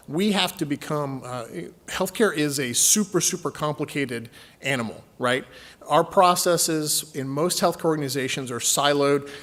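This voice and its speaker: A man's voice: mid-range voice, fairly bright tone. A man from Texas with a light Texan accent, giving a chatty, joking conference presentation to a session audience. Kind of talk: conference talk.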